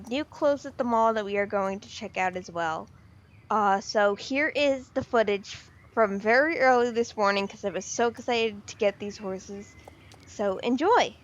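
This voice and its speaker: A woman giving casual, excitable game commentary, rambling with fillers, her voice slightly off from the weather. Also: on the high side, muffled recording. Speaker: game video creator.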